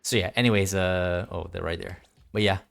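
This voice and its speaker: A man giving casual live game commentary in a rambling, halting, low-key way. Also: steady tone, creaky, fairly bright tone. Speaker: game streamer. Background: faint game music.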